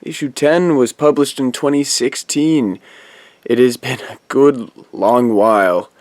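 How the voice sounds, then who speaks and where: A man giving an informal announcement as an audio drama producer, in halting, deliberate phrases. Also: mid-range voice, fairly even intonation, darkish tone, no echo. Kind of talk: informal post-credit announcement.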